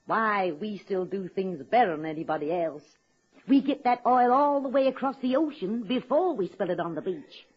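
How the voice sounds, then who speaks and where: A woman's voice: mid-range voice, expressive, normal speed, husky. An actress playing a blunt, folksy working-class woman running for office in a radio comedy. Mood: opinionated. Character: plain-spoken, folksy, feisty working-class woman candidate. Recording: dull lo-fi old radio recording.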